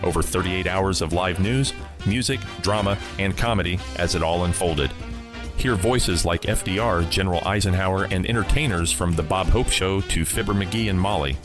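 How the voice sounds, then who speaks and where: Podcast host, male, very low voice, scripted promo read with clear music underneath. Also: normal speed.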